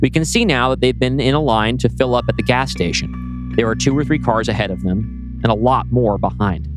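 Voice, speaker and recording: Male podcast host, scripted screenplay narration, middle-of-the-road pitch, light music behind. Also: fairly quick.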